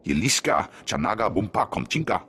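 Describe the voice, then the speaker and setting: A man voicing an alien elder in a video game, speaking an invented tongue in a measured, swooping way. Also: on the low side, very animated, bright, forceful tone, slight background noise, full character voice. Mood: grave, instructive.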